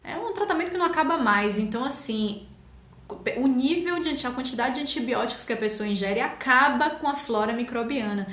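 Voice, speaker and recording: A woman's voice: on the high side, expressive, brisk, fairly bright tone, reverberant. A woman from Salvador with a Bahian accent, a nutritionist chatting on a live stream, explaining casually with many fillers. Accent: Bahian Portuguese. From Salvador, Brazil. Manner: explanatory, hesitant with fillers, laughs easily. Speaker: nutritionist host.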